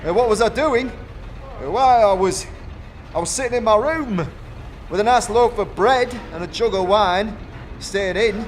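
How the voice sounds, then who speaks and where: A man voicing a gruff, self-important, defensive foundry foreman with rustic, blustering speech in a fantasy audio drama. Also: high-pitched, measured pace, slight background noise, voice pushed up. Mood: evasive, scornful. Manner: rustic dialect grammar, blustering, self-justifying.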